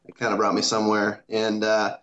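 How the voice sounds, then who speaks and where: A man from Iowa, a guest animator, answering casually and modestly with many pauses. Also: fairly low pitch, monotone, fairly bright tone. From Iowa, USA. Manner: modest, hesitant, many fillers. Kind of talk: casual interview. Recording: dull lo-fi audio.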